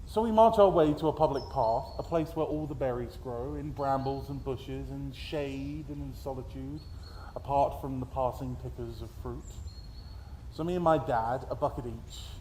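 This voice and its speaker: A British man performs his own spoken-word poems at a live event, with a theatrical, deliberate storytelling delivery and dramatic emphasis. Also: mid-range voice, dark tone. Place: live at a festival event in a room. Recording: roomy, echoey live event, background noise.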